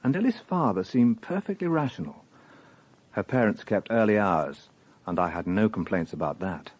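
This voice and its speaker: Mellow, mild British police inspector. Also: male voice, lowish voice, animated, normal speed. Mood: warm, content. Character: mild, dutiful male police inspector and family man. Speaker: actor in a radio crime drama. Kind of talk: radio drama dialogue and first-person narration.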